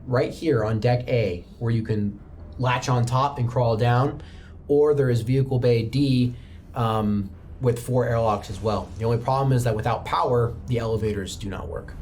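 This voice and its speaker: A man's voice: mid-range voice, moderate pace, darkish tone. Male game master with faint room noise. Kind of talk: improvised narration of a tabletop game.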